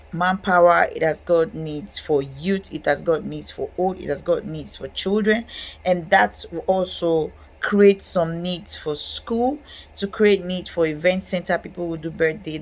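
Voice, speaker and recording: Chatty Nigerian woman, slightly low voice. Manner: chatty, repeats "okay" and "guess what", laughs often. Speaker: real estate channel host. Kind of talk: casual talk to camera.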